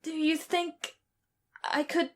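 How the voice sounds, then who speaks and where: A woman's voice: high voice, level tone, takes it slowly. A woman playing a spoiled, sickly young boy in an audio drama, with a plaintive, childlike manner. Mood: subdued.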